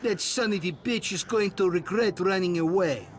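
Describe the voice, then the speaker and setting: A man's voice: high-pitched, normal speed, a little hiss or hum, lightly acted. A man playing a hard-edged male stalker in a video game who looks after his friend. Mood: vengeful.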